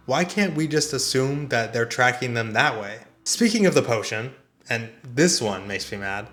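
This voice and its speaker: Guy doing a video essay review, mid-pitched voice, chatty but fed up, asks pointed questions. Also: fairly bright tone. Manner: conversational, exasperated, emphatic rhetorical questions. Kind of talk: scripted video essay commentary.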